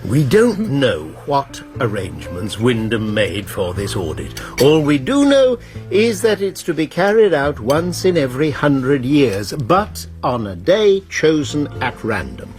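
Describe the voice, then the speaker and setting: An actor playing a genial, authoritative college master in a radio drama, explaining things with polite urbanity. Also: male voice, medium pitch, big pitch swings, unhurried, a little mellow, soft background music. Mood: urbane, reassuring. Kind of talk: British radio drama acting.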